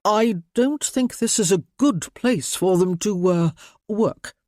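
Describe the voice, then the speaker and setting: A man voicing a courteous, composed gentleman lord in a storybook reading. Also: medium pitch, takes it slowly, no room sound, clean studio sound, voice pushed up, natural voice. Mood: calm, measured.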